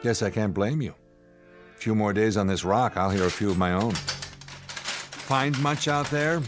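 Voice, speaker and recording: A man playing a weary, suspicious spaceship commander in a radio drama, gruff, curt and sarcastic. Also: lowish voice, measured pace, over music, close to an everyday voice.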